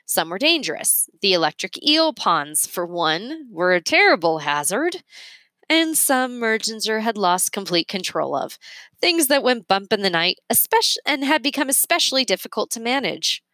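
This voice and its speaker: A woman's voice: mid-range voice, sing-song, moderate pace, bright, forceful tone, no room sound. A woman reading a children's story aloud as a narrator. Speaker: storybook reader.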